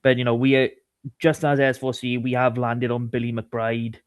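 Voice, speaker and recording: A man co-hosting a sports podcast, talking casually about rugby in a halting way, often repeating words. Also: mid-range voice, level tone, clean tone, dry recording, clean studio sound.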